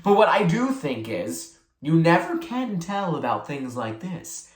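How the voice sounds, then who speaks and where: A storybook reader voicing a bossy, theatrical boy who schemes smoothly and likes to play high priest. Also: very animated, unhurried, reverberant. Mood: coaxing, mysterious. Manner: solemn chanting, mock-innocent persuasion.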